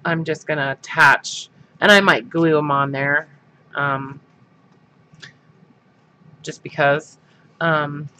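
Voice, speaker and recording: A man hosting a crafting livestream talks in an unhurried, rambling way, full of ums and restarts. His voice sits a little high and sounds bright and forceful, with a stuffed-up nose. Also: fairly even intonation, rough recording. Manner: rambling, many fillers and restarts. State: stuffed-up sinuses. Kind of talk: casual livestream chat while crafting.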